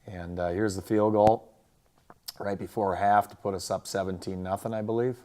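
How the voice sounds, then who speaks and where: A man with an American accent, a football coach talking casually through a game recap in a plainspoken, halting way. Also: on the low side, steady tone, dark tone. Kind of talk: casual sports recap and highlight commentary.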